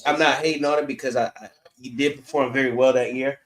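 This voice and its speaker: A man's voice: mid-range voice, fairly even intonation, fast talker. A man co-hosting a sports podcast, giving blunt, emphatic opinions in casual conversation. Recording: roomy, echoing sound.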